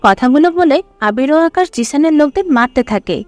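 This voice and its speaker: High-pitched woman narrating a story. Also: animated, fast, darkish tone, dry recording, faint music behind.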